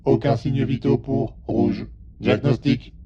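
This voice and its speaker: A man playing an emotionless spaceship computer in an audio drama, announcing reports in a flat robotic manner. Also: mid-range voice, takes it slowly, creaky, highs cut off, over a noisy crowd.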